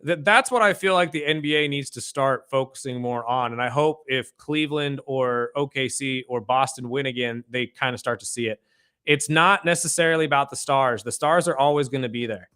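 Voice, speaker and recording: A man's voice: mid-range voice, fairly quick, pushed and bright, clean tone. A man hosting a sports radio show, talking basketball in a casual, opinionated and emphatic way. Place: radio studio.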